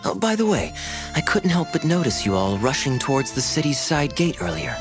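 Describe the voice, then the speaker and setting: A suave young cavalry captain, in a medium-pitched, lively voice. He is courteous and playfully teasing in the polished style of game acting, with music clearly behind. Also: brisk. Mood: thoughtful. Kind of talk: animated video game voice acting.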